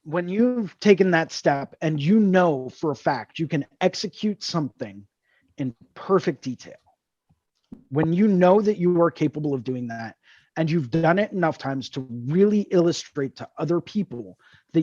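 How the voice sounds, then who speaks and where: A man's voice: on the high side, lively intonation, normal speed, a little mellow, dry recording, dull audio. A man hosting a tattoo drawing group over a video call, explaining ideas earnestly and repeating key words. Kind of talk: casual mentoring chat on a video call.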